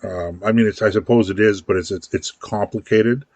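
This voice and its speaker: Lower-voiced man musing on air. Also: fairly even intonation, moderate pace, a little mellow, no room sound. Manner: rambling, repeats words while thinking. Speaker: radio talk show co-host. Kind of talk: casual political chat.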